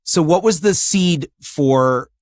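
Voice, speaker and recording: A man's voice: on the high side, animated, takes it slowly, darkish tone, dry recording, clean studio sound. A man hosting a podcast interview, talking in a conversational, explanatory way. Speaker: podcast host and show creator.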